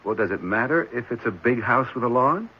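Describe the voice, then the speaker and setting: An actor playing a concerned, gently probing prospective foster father in a 1970s radio drama. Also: medium pitch, lively intonation, a little fast, breathy edge. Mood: gentle. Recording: muffled, noisy vintage radio recording.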